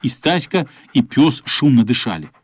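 A man's voice: mid-range voice, big pitch swings, normal speed, breathy edge. A man narrating a children's radio play as a storyteller. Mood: measured storytelling.

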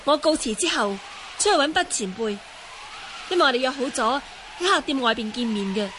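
Very high-voiced young martial-arts heroine. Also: female voice, lively intonation, brisk, background noise. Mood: earnest. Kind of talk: Cantonese radio drama acting.

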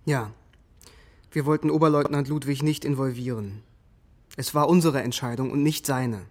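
Man acting a terse accused soldier, mid-pitched. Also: steady tone, normal speed, darkish tone, natural voice. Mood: matter-of-fact. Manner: terse, factual, controlled. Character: unrepentant, matter-of-fact male soldier, sniper on trial. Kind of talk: German radio drama acting.

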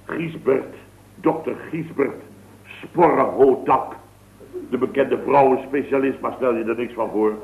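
A man's voice: mid-range voice, a little slow, vocal fry, background noise. A man playing a boastful, rambling contractor in a 1960s radio comedy. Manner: rambling, boastful, full of tag words. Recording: tinny, echoey old radio broadcast.

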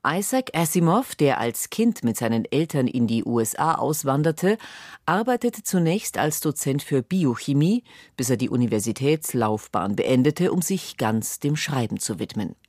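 A woman's voice: very deep voice, animated, normal speed, a little mellow, dry recording, studio quality. A woman reading a formal, informative radio introduction as announcer.

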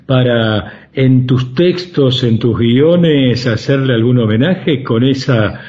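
Slow-paced, mellow Argentine man. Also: mid-range voice. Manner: long, roundabout, halting questions. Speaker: interviewer. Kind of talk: conversational radio interview. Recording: slightly dull lo-fi sound.

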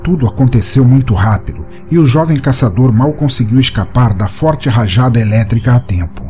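Mellow male story narrator over music. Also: mid-range voice, expressive, fairly quick. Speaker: podcast narrator. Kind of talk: scripted short-story narration. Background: music under the voice.

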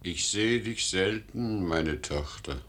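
A concerned older father in a radio drama. His voice is extremely low and very slow, with a solemn, measured manner. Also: animated, fairly bright tone, full character voice. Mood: grave, concerned. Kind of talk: German radio play acting.

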